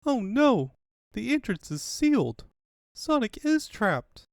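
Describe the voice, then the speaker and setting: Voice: medium pitch, sing-song, slow pace, gravelly, dry recording, studio quality, heavily acted. A performer voicing an earnest, anxious young fox sidekick in a cartoon comic dub. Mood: alarmed. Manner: anxious, pleading.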